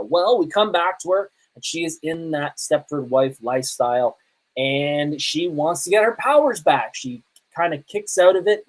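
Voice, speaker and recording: A man's voice: a little high, low-quality recording. A man hosting a podcast, talking casually in a rambling, conversational way and often correcting himself. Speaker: podcast host. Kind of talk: casual live podcast talk and reviews.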